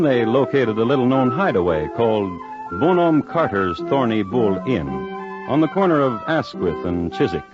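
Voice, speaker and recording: A man narrating an old radio crime drama in a scripted, deadpan, droll manner. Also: fairly low pitch. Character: droll storyteller of historic crimes. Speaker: host narrator. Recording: dull old radio recording, music behind.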